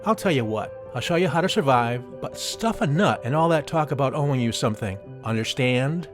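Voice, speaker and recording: A man playing a cocky, gruff, streetwise field mouse in an audio drama, teasing and brusque with a drawl. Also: medium pitch, expressive, a little fast, music in the background, lightly acted. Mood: bossy, teasing.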